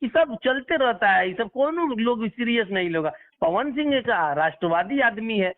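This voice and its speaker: Man, Bhojpuri accent, high voice, blunt, scornful, ranting, opinionated phone talk, thin narrow-band line. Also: lively intonation, normal speed. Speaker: phone interview guest.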